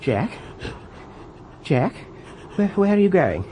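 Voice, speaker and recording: A polite, anxious British RAF officer in a radio drama. His pitch swings widely as he coaxes and hesitates in fussy, courteous phrases. There is faint noise behind him. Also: big pitch swings, takes it slowly, heavily acted. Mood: anxious pleading.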